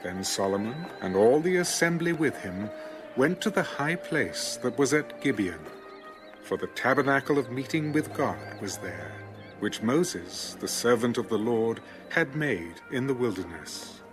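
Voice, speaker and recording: A man narrating scripture in a measured, formal, solemn storytelling style. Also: mid-range voice, lively intonation, music in the background.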